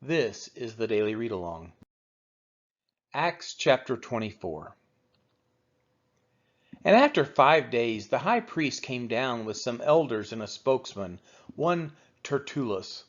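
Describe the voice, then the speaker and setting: A man reading the Bible aloud as scripted narration, in a measured, expressive oratorical manner with deliberate phrasing. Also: mid-range voice, dull audio. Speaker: scripture reader of a daily read-along.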